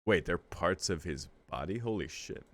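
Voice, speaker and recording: A man with a low voice giving casual commentary as he reads through a visual novel on a stream. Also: lively intonation, moderate pace, a bit bright. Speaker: streamer reacting to a game. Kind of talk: casual commentary while reading visual novel text aloud.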